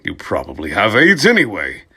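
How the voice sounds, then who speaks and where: A man's voice: lowish voice, huge pitch swings, normal speed, husky, heavily acted. A man voicing a melodramatic, hot-tempered teenage goth girl from a story, overwrought in manner. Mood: furious, overwrought. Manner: overwrought, swinging from confessing to shouting.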